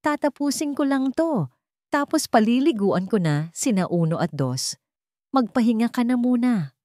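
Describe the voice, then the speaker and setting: A woman's voice: a little high, lively intonation, moderate pace, warm tone, no echo, studio quality, voice pushed up, lightly acted. A woman with a Filipino accent voicing a firm, devoted young husband and father in an audio drama, plain-spoken and resolute. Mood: gentle.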